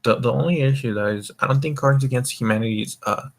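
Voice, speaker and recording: A man's voice: medium pitch, fast talker. Rambling man hosting a voice call. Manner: rambling, with frequent restarts and stammered repeats. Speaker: voice call host. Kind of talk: casual voice-chat podcast.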